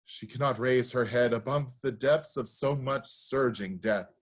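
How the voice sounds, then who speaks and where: A male actor as a reverent Greek priest in a staged tragedy. His mid-pitched voice pleads gravely with a ruler. The delivery is earnest and oratorical. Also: a little slow, natural voice. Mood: grave, pleading.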